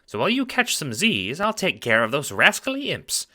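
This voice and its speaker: A man's voice: fairly high pitch, huge pitch swings, moderate pace, sharp tone, voice pushed up, exaggerated voice. Man putting on a doting old grandmother, lilting. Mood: doting, chipper. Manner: doting, old-fashioned endearments. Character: kindly old ghostly grandmother, doting and chipper. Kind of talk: audio drama.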